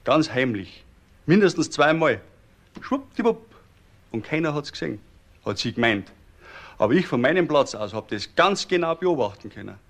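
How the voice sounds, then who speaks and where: An actor with a Bavarian accent playing a chatty, self-assured musician giving testimony in a folksy way in a crime radio drama. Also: male voice, a little high, sing-song, normal speed, fairly bright tone, heavily acted. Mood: chatty, knowing. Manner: folksy, colloquial. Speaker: witness, musician.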